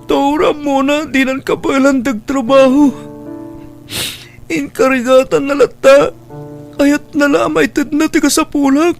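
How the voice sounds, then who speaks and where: A man's voice: very high voice, little pitch movement, a little slow, faint music behind. A man playing a remorseful young son in a radio drama, plaintive and melodramatic, with a Filipino accent. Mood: grieving, remorseful. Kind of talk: Ilocano radio drama acting.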